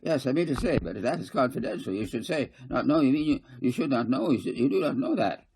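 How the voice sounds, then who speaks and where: A man's voice: medium pitch, animated, fast talker, darkish tone, husky, pitched above the performer's own voice. A man voicing a flustered, blustering small-town councillor in a storybook, sputtering and repeating himself indignantly.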